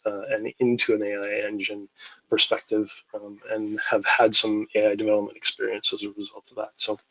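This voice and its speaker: Low-voiced older man moderating a call. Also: dry recording. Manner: relaxed, conversational with occasional restarts. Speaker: webinar moderator and consultant. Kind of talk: webinar panel moderation. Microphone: video call. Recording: dry, dull lo-fi call audio.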